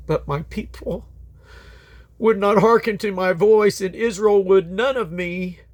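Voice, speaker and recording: A man's voice: high voice, measured pace. A man reading Bible scripture aloud in a solemn, declamatory manner, letting his phrases fall away at the end. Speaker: Bible reader.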